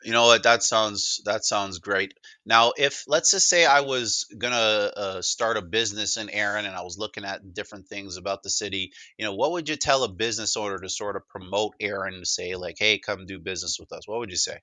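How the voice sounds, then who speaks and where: Bright, informal man demonstrating technology. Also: on the low side, brisk, dry recording. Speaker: presenter demonstrating a voice assistant. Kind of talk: casual demo conversation.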